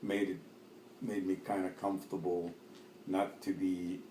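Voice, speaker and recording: 低沉平稳的男声，说话断断续续. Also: male voice, low-pitched, little pitch movement, mellow, roomy sound, slight background noise, low-quality recording. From Lebanon. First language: Arabic. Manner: halting, many fillers and restarts. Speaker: interviewee. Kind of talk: oral history interview.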